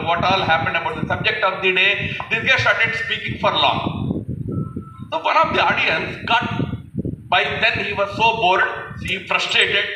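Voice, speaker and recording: A man speaks in at a public function, telling stories with a jovial, unhurried manner. His voice sits high for a man. He is heard from a distance in a booming, echoey hall. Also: background noise. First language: Telugu. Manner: jovial, storytelling, with pauses and fillers. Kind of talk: public speech with humorous anecdotes. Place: large echoey hall with an audience.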